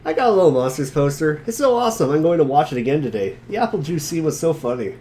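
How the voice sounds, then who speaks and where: A man's voice: fairly high pitch, sing-song, fast talker, a little mellow, slight background noise. A man reading a smug, sarcastic webcomic boy in a casual, teasing way for an amateur dramatic reading. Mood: excited.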